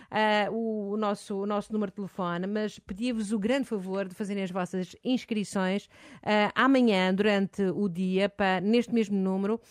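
A middle-aged woman with a European Portuguese accent hosts a phone-in show. She is animated and effusive, cheering her caller on. Her voice sits mid-pitch and sounds close and dry. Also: dry recording. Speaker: host.

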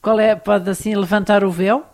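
A woman with a European Portuguese accent leads an interview. She asks direct, probing questions and follows up on the answers. Her voice sits at a middle pitch. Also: steady tone, normal speed, a little mellow.

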